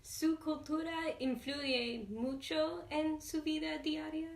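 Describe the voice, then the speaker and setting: A woman's voice: sits high, level tone, takes it slowly, creaky, roomy sound. A woman interviewer asking formal questions with some hesitation.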